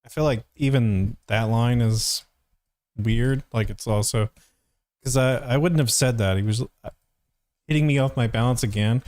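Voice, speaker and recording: A man's voice: medium pitch, normal speed, a little mellow, no room sound. A young man who voice acts, giving casual, analytical reaction commentary in a hesitant, searching way. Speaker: voice actor reacting and reviewing.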